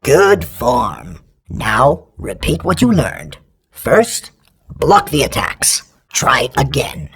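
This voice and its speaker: A synthetic training droid for a video game. It sounds very husky and heavily gravelled, with a robotic filter. It issues formal, deadpan instructions and announcements, yet its pitch swings noticeably. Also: extremely slow, full character voice. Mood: stern, procedural. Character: clipped, emotionless robotic training droid giving combat drills. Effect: robotic voice processing. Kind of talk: scripted video game voice lines.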